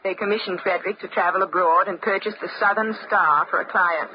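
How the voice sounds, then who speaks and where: A woman's voice: mid-range voice, fairly quick, close to an everyday voice. A woman acting a chatty, genteel married lady in an old-time radio drama, with a polite, effusive manner. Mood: confiding, fluttery. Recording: dull, noisy old radio recording.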